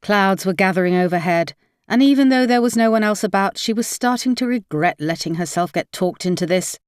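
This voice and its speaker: A woman's voice: mid-range voice, brisk, clear, resonant tone, dry recording, clean studio sound. A woman reading a crime novel aloud as scripted audiobook narration.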